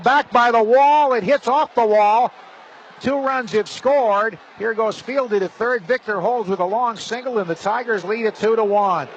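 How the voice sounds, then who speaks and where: Higher-pitched man calling baseball play-by-play. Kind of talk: live radio baseball commentary. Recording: slightly dull broadcast, faint stadium noise.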